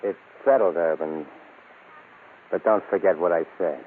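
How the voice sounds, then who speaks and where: A man's voice: low voice, unhurried. An actor playing a greedy, suspicious gangster henchman with a blunt American way of talking in a radio crime drama. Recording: noisy, tinny old radio recording.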